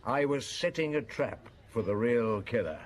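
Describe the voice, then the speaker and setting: An actor playing a weary, wry French police chief inspector in a radio drama, speaking conversationally. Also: male voice, medium pitch, animated, normal speed, close to an everyday voice. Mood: reminiscing. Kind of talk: radio drama dialogue and reminiscence.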